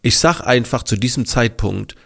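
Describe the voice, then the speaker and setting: A man's voice: medium pitch, moderate pace, creaky. A man hosting his podcast, slightly congested from a cold, telling a story casually with lots of fillers and restarts. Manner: animated, many fillers and restarts. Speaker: podcast host.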